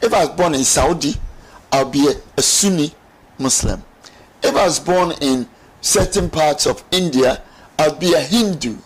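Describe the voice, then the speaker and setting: A middle-aged man, explaining as a talk-show guest in emphatic, deliberate phrases. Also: fairly high pitch, slightly rough, rough recording. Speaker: studio guest. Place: TV studio lounge set. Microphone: lapel mic.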